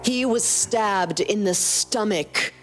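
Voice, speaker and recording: A woman's voice: mid-range voice, takes it slowly, soft background music. A woman co-hosting and narrating a fan fiction podcast in a scripted storytelling style.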